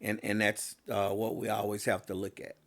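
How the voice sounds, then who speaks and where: Podcast host, male, slightly lower voice, hoarse, loose rambling sports talk. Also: little pitch movement, normal speed, fairly bright tone. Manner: rambling, many fillers and restarts. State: voice getting hoarse.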